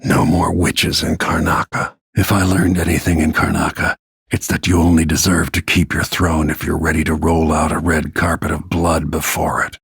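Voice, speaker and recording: An actor playing a grim, vengeful middle-aged assassin in a video game, brooding in a gravelly, husky voice with low-key menace. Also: male voice, flat delivery, normal speed, fairly bright tone, no echo, studio quality, exaggerated voice. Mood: brooding, resolute. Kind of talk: dramatic game voice lines, brooding inner monologue.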